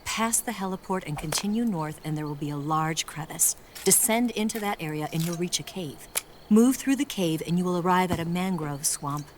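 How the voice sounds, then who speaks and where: Woman doing a cool teasing spy lady from a video game, kinda low voice, crickets in the background. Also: normal speed, a little hiss or hum, lightly acted. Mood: briefing, matter-of-fact. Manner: poised, coy. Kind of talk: video game cutscene acting. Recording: night insect ambience behind the voice.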